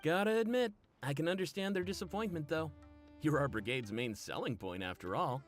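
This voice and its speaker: A man's voice: a little high, lively intonation, a little fast, soft background music, close to an everyday voice. A man voicing a cocky, joking mercenary in a game. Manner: flirty, joking.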